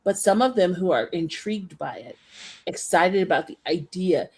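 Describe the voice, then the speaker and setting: Low-voiced woman co-hosting a chatty podcast. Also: lively intonation, normal speed, a little mellow, slightly rough. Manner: explains points at length, emphatic. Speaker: podcast co-host. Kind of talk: casual chat.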